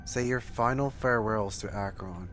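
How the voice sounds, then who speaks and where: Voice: medium pitch, moderate pace, fairly bright tone, faint music behind. A streamer giving casual, emotional reaction commentary over a game, given to exclamations.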